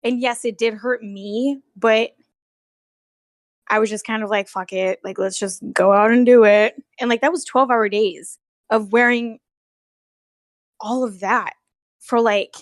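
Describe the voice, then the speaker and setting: A woman telling work stories as a guest in a casual, animated, giggly chat with some swearing, on an amateur recording. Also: fairly high pitch, a little slow, pauses often, dry recording, clean studio sound. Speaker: guest, costumed theme park character performer. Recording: amateur recording with bad audio.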